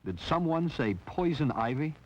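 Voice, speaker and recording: A man's voice: a little high, expressive, moderate pace, a little mellow, no echo. A man narrating a 1960s training film in a wry, teasing scripted style. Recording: dull lo-fi 1960s film soundtrack.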